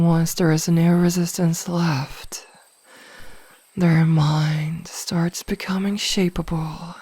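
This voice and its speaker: A woman playing a possessive, teasing mage in an ASMR fantasy roleplay, speaking softly and coaxingly with condescending endearments. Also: low voice, breathy edge, dry recording, heavily acted. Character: possessive, teasing female mage hypnotizing a burglar.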